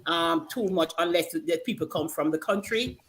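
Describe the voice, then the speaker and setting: A Jamaican woman in a casual group chat, telling lively stories and mimicking accents, with many stammered restarts. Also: on the low side, normal speed.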